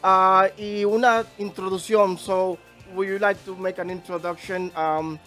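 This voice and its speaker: A male host interviews a guest, moving between Spanish and English with frequent pauses and restarts. His voice sits slightly high. Faint music runs behind him. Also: little pitch movement, sharp tone. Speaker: show host. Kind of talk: bilingual interview hosting.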